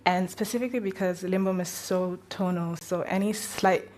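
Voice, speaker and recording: A woman's voice: lowish voice, steady tone, normal speed, darkish tone, slight background noise. A woman on a live panel, speaking thoughtfully and searching for words as she reflects.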